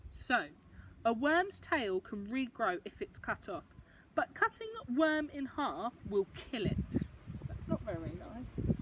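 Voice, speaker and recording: A woman's voice: a little high, sing-song, slow, a bit bright, recorded from a distance, slight background noise, low-quality recording. A woman reading a picture book to young children, expressively, with explanatory asides and questions for the listeners. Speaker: storybook reader for young children.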